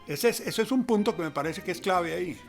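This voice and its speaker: A man's voice: high-pitched, animated, a little fast, creaky, soft background music. A man giving conversational analysis, explaining emphatically and rephrasing his ideas as he goes.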